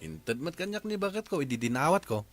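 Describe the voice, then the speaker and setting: An actor with an Ilocano accent playing a grumbling, penny-pinching husband in a radio comedy drama. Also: male voice, a little high, sing-song, quick pace, soft background music. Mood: pleased.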